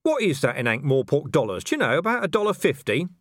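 A man's voice: fairly high pitch, sing-song, quick pace, fairly bright tone, no echo, pitched above the performer's own voice. A man voicing a cornered but defiant city watchman in an audiobook, dry, sarcastic and needling. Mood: sneering.

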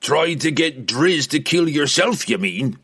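A man voicing a gruff, angry old dwarf king. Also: fairly high pitch, wildly swooping pitch, measured pace, a bit bright, pitched above the performer's own voice, full character voice. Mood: scolding. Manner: blunt, mocking, rustic dialect. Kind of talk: fantasy audiobook character voice.

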